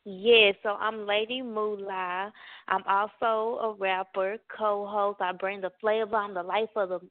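A woman's voice: mid-range voice, level tone, unhurried, no room sound. Outgoing woman co-host on phone line. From born in Atlanta, raised in Dallas. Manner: outgoing, agreeable, apologetic with little laughs. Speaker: radio co-host and rapper. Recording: tinny phone-line sound.

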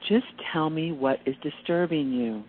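A woman playing a calm, cool, sanctimonious friend who pries into feelings in a radio play. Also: low voice, normal speed, a little hiss or hum, natural voice. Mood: serene, coaxing. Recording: thin phone-like audio.

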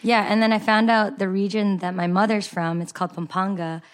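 A woman's voice: medium pitch, level tone, brisk. A young adult woman chef from San Jose, chatting casually as a podcast guest, laughing often and trailing off mid-sentence. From San Jose, California. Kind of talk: casual chat.

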